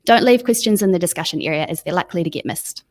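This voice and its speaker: A woman's voice: fairly low pitch, lively intonation, quick pace. A woman hosting a webinar, introducing and moderating in a prepared, presentational style. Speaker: webinar host.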